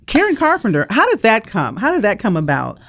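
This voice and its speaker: A woman's voice: medium pitch, huge pitch swings, brisk, fairly bright tone, no echo. A woman radio host interviewing a guest conversationally in an effusive, affirming manner. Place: radio studio.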